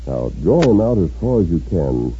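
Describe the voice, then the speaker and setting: An actor playing a steadfast masked western hero, with a deep husky American voice, in a 1950s radio drama. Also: male voice, big pitch swings, normal speed, dark tone, a little hiss or hum. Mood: earnest, purposeful. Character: steadfast masked western hero, grown man, earnest and commanding. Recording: dull 1950s radio recording.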